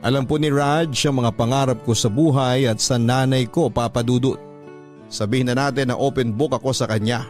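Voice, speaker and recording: A man reading a letter sender's story aloud in a polite, earnest way, radio drama style, with soft instrumental music under his voice. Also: mid-range voice, steady tone, normal speed, natural voice.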